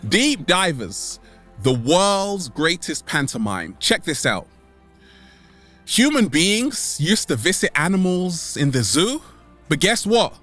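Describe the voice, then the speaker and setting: A man hosting a vlog as a comedic monologue to camera, theatrical, with dramatic pauses and repeated catchphrases. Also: a little high, big pitch swings, fairly bright tone, soft background music. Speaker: vlog host.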